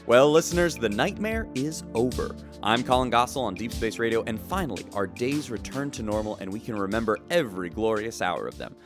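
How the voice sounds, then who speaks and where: An actor playing a tongue-in-cheek broadcaster in a sci-fi radio drama, narrating scripted news with theatrical announcer delivery. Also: male voice, medium pitch, lively intonation, brisk, few pauses, over music, lightly acted. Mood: wry announcing. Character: smooth, tongue-in-cheek male space-station radio broadcaster.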